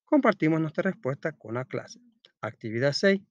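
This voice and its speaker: Male primary-school teacher, very lively intonation, didactic question-and-answer delivery, recorded dry and slightly dull. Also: on the high side, moderate pace, no room sound. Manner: didactic, asks questions and answers them himself. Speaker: teacher presenting a school textbook lesson. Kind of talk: read-aloud storytelling and step-by-step lesson narration.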